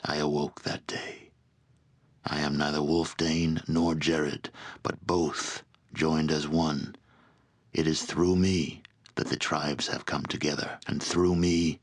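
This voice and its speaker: A barbarian chieftain with a very low, weighty voice. He is proud and embittered, delivering formal, deliberate speeches in the grave manner of a fantasy video game leader. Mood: solemn, proclaiming. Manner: solemn, formal, deliberate oratory. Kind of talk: scripted fantasy game voice acting.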